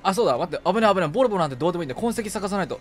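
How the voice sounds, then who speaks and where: A man streaming a video game in casual co-op chat, reacting playfully and excitably and often talking to himself as he plays. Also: high voice, racing, faint music behind.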